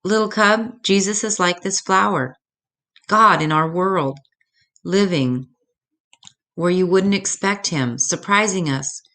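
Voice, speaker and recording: Woman as a low-voiced, gentle mother bear. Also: slow pace. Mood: loving. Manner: tender, teaching patiently. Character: gentle, patient mother bear, devout and reassuring.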